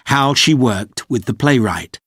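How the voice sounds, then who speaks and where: A man playing an organised, courteous tour leader, giving a measured, clearly explained scripted briefing for a listening test. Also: mid-range voice, animated, fairly bright tone, no room sound, clean studio sound. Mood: polite, informative. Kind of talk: scripted informational monologue for an English listening test.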